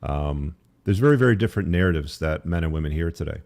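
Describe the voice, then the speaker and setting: A man's voice: a bass voice, lively intonation, fast, a little mellow. A man speaking as an interview guest, explaining his opinions in a conversational, opinionated way. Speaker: podcast guest, author.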